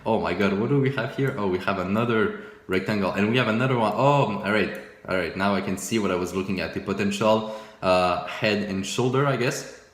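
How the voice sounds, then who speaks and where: A young man with a low voice whose first language is French talks casually over his screen, looking back at old work. He is easygoing and self-deprecating, full of "you know" and "uh". The sound carries a noticeable room echo. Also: brisk. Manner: relaxed, self-mocking, many fillers and restarts, chuckles often. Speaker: forex trading YouTuber. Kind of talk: casual screen-share commentary.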